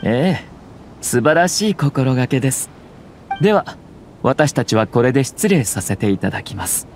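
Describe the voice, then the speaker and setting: An actor playing a refined young noble lord in a game, with polite, formal, measured speech. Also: male voice, mid-range voice, lively intonation, a little hiss or hum, natural voice. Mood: courteous. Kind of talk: Japanese game voice acting. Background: background music.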